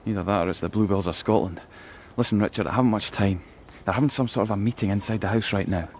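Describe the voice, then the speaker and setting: A wry undercover policeman played by a male actor in a radio drama. He speaks quickly in a lowish voice, with urgent, colloquial phrasing and a little noise behind him. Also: darkish tone, close to an everyday voice. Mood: wry, furtive. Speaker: police officer.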